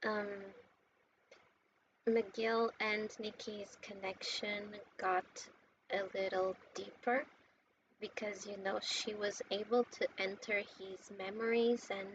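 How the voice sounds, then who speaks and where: A woman giving casual, heartfelt game commentary in a gushing, sentimental and halting way. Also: mid-range voice, level tone. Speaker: gaming content creator. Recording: muffled lo-fi mic with noticeable background noise.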